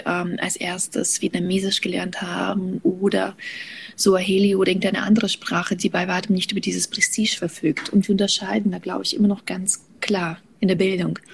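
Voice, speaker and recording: A woman's voice: mid-range voice, creaky, a little hiss or hum. A woman whose first language is Russian, answering interview questions in a reflective way and restarting her sentences often. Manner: reflective, with frequent restarts and fillers. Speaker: guest author. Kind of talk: thoughtful conversational interview.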